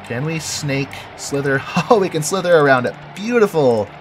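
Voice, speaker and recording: A man's voice: fairly high pitch, animated, normal speed. Stuffy-nosed man thinking aloud over game music. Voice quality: nasal. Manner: thinks aloud, chuckling, self-correcting. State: head cold, stuffy nose. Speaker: game video creator. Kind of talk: casual gameplay commentary.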